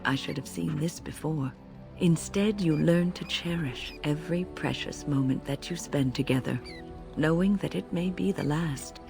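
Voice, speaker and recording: Low, creaky, wise old woman. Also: over music. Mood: tender, solemn. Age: older. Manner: measured, reflective. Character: wise, gentle elderly woman, solemn mentor. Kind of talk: video game character acting.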